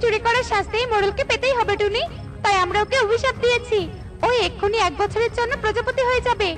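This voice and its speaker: A woman's voice: very high-pitched, fairly even intonation, fast talker. A woman voicing an indignant magical butterfly in a children's cartoon, scolding in a declamatory way. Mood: stern, scolding. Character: indignant magical butterfly fairy punishing a thief. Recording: loud music behind the voice.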